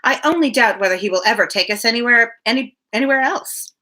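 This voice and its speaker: Bright, coaxing young gentlewoman read by a woman. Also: fairly high pitch, animated, fast. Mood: patient reassuring. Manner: patient, coaxing. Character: cheerful, reassuring young gentlewoman soothing her father. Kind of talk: storybook reading of dialogue.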